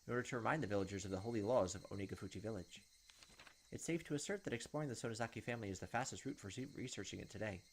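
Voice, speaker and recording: A man's voice: fairly low pitch, a little hiss or hum. A young man hosting a gaming video, reading game text aloud and adding casual commentary.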